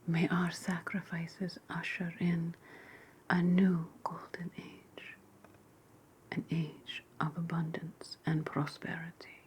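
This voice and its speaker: A woman playing a sinister, sardonic ancient Roman witch in a whispered ASMR roleplay, speaking deliberately and ritualistically, often repeating phrases. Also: lowish voice, little pitch movement, darkish tone, slight background noise, low-quality recording. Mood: incantatory.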